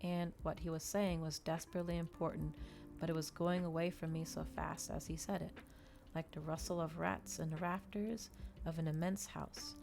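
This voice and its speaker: A woman with a low, slightly gravelly voice reads fiction aloud for ASMR. Her delivery is measured and expressive, like an audiobook narrator's. Faint music plays behind her. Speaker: streamer reading a novel aloud. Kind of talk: dramatic ASMR audiobook reading.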